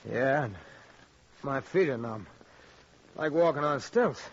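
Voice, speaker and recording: A man's voice: mid-range voice, animated, slow, slight background noise. An actor in an old-time radio drama playing an earnest young airman just out of college. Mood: weary, plain. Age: young adult. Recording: dull old radio recording.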